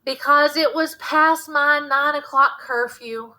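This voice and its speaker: A woman's voice: sits high, steady tone, takes it slowly, bright, forceful tone, voice pushed up, exaggerated voice, rough recording. A woman reading a storybook as an anxious, put-upon Southern boy who pleads with his mother. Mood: nervous.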